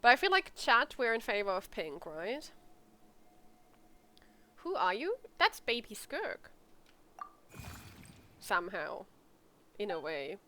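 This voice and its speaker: A woman's voice: medium pitch, sing-song, slow, pauses often, pushed and bright, slight background noise. A woman streaming a game, chatting casually and reacting expressively and informally to what happens.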